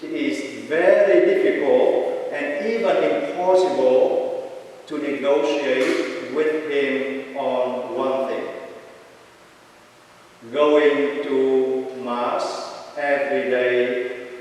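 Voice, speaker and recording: A man from Vietnam preaching a homily as a priest, slow and deliberate with long pauses. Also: mid-range voice, fairly even intonation, darkish tone, recorded from a distance. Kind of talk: sermon. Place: large church. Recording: echoey church heard from afar.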